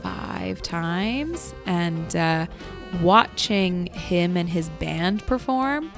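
A woman's voice: lowish voice, sing-song, extremely slow, music in the background. A young Canadian woman hosting a radio show in casual, chatty, enthusiastic co-host banter.

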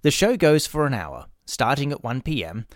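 A man's voice: medium pitch, very animated, moderate pace, no room sound, studio quality. A middle-aged man with an Australian accent, reading scripted promotional narration as a podcast host. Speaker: podcast host and drama workshop creator. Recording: music behind the voice. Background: music.

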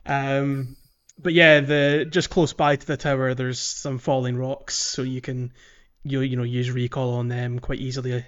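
A man's voice: medium pitch, flat delivery, a little slow, smooth, muffled recording. A man co-hosting a podcast, talking through a video game casually and a little hesitantly. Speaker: podcast co-host.

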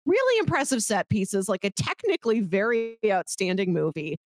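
A woman's voice: mid-range voice, wildly swooping pitch, moderate pace, no echo. A woman co-hosting a casual film podcast, playfully recapping movies and acting out bits of paraphrased dialogue in an informal way. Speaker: podcast co-host pitching movies.

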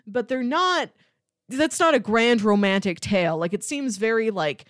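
A woman's voice: on the high side, lively intonation, moderate pace, dry recording, studio quality. A woman co-hosting a film podcast, talking casually and thoughtfully, often peppering her sentences with "like". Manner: thoughtful, conversational, frequent "like" filler.

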